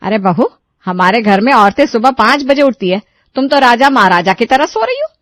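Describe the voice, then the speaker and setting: Woman doing a naggy middle-aged saas in a cartoon, high voice, sarcastic and chiding, dry sound. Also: big pitch swings, normal speed, a bit bright, no room sound. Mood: scolding. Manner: chiding, sarcastic rhetorical questions. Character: nagging, sarcastic middle-aged mother-in-law and aunt. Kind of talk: Hindi cartoon moral story voice acting.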